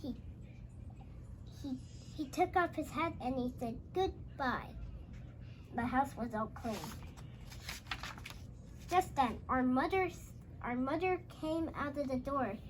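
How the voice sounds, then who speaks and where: A young child with a high voice tells a storybook tale at a slow, halting pace. Phrases get repeated and restarted, and the dialogue is called out. There is faint noise behind the voice. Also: lively intonation, low-quality recording. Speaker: young host reading a storybook aloud.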